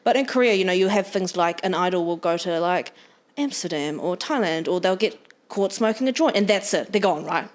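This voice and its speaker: A woman's voice: fairly low pitch, animated, fairly quick. A young adult woman with a New Zealand accent, a podcast guest talking frankly in casual chat, with the odd swear word.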